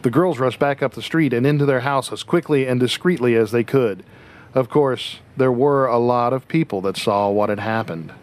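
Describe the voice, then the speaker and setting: A man's voice: medium pitch, normal speed, lightly acted. A man reading scripted story narration for a radio drama.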